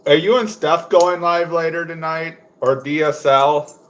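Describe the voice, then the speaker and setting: A young man from Wisconsin with a low voice, chatting casually on a home livestream while making fishing lures, rambling with lots of fillers. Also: steady tone, unhurried, a bit bright. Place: indoors at home.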